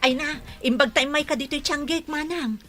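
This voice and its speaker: A woman's voice: high voice, moderate pace, fairly bright tone, soft background music. A woman playing a nosy, insistent village gossip in a radio comedy drama. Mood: gossipy.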